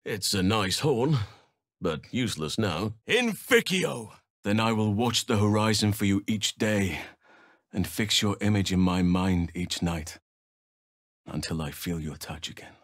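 A man voicing a noble, gentle-mannered archdruid in a fantasy video game, speaking in a measured, earnest, formal way. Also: fairly low pitch, animated, no echo, clean studio sound, exaggerated voice. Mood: curious, calm, then urgent battle cries, then tender, romantic. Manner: measured, earnest, formal, old-fashioned phrasing.

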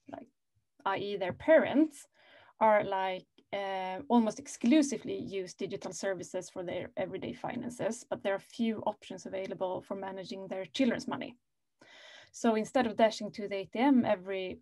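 A woman's voice: mid-range voice, a little mellow, no room sound. A woman in her thirties answering a panel question over a laptop video call, earnest and full of "like" fillers. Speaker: panelist, company operations head.

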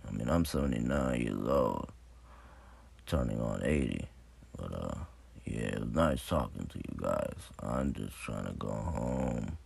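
A worn-out old man in his late seventies for a phone comedy skit. The voice sits extremely low, deeply gravelly and husky, and he rambles slowly and wearily. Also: lively intonation, a little mellow, heavily acted. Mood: weary. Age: 70s. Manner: rambling, weary, slow. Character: tired grumbling old man of seventy-nine. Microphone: phone front camera at arm's length.

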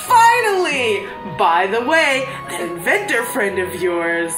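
A fussy, loving video game mother, acted out by a woman. Her voice is highish and swoops expressively as she chides and coaxes. Game music plays behind her in a roomy space. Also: sing-song, slow. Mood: bright, motherly. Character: doting, chiding mother from a fantasy video game. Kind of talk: theatrical read-aloud of game dialogue.

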